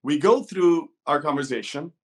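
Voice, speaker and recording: A man's voice: fairly high pitch, normal speed. A middle-aged man from Hungary with a Hungarian accent, talking informally and discursively as a coach being interviewed. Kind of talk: conversational interview talk.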